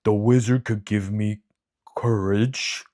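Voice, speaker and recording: A man voicing a big, cowardly male lion who speaks slowly and mournfully. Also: lowish voice, fairly even intonation, warm tone. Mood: sorrowful. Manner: slow, drawn-out, mournful delivery.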